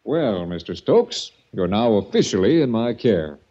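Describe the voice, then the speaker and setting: An actor playing a smooth, sinister sanitarium director in a 1940s radio drama, speaking with menacing politeness. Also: male voice, fairly low pitch, big pitch swings, measured pace, warm tone, slight background noise. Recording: dull old radio recording.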